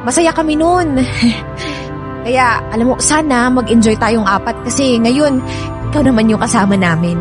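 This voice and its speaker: A woman's voice: a little high, normal speed, natural voice. An actress playing a friendly, apologetic and chatty young woman in a Filipino radio drama. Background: music.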